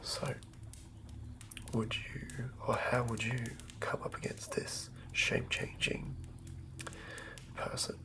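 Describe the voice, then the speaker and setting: A man giving a whispered, improvised ASMR narration as a game master, close to the mic, murmuring in a halting way as he thinks aloud. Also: on the low side, vocal fry, poor audio.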